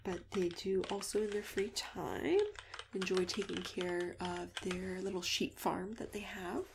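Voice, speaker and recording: A woman doing a soft-spoken ASMR roleplay as a friendly matchmaker, often dropping into a whisper, gentle, chatty and hesitant as she reassures her client. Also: on the low side, level tone, measured pace, gravelly, background noise. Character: friendly female matchmaker for monsters. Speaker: ASMR host playing a matchmaker. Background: computer keyboard typing and clicks.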